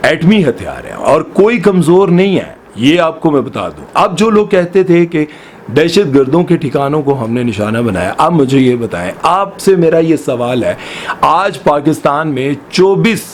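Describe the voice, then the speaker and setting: A man's voice: a little high, sing-song, normal speed, a little hiss or hum. A man from Pakistan, giving opinionated news commentary and firing off rhetorical questions with passion. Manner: impassioned, full of rhetorical questions.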